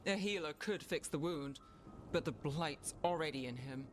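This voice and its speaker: A woman as a terse, low-voiced city defender. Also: moderate pace, slight background noise, natural voice. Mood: weary, tense. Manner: terse, serious. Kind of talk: video game dialogue acting.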